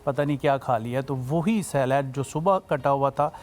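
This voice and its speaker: A man's voice: mid-range voice, normal speed, a little mellow, a little hiss or hum. A man, a doctor and health expert on a morning show, explaining health tips in a folksy, plain way. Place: TV studio.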